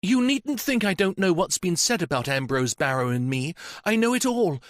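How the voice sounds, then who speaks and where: A grieving young woman in a mystery audiobook, defiant and close to tears, as a male narrator puts her on. Her voice rides high and swings widely, with a dry, close sound. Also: sing-song, moderate pace, no room sound. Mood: impassioned. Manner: impassioned, tearful outbursts.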